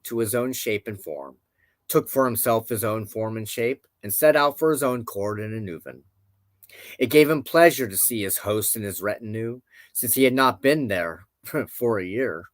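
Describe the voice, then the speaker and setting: A man's voice: mid-range voice, animated, moderate pace, no room sound. A man narrating an old legend aloud as a storyteller. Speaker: host, storyteller.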